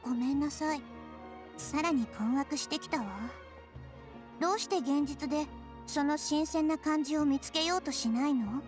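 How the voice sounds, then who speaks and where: A woman plays a small, gentle girl deity in a fantasy video game. She is polite and curious, with a very high voice and quick speech over clear background music. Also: lightly acted. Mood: curious, puzzled. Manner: polite, inquisitive. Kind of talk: Japanese video game voice acting.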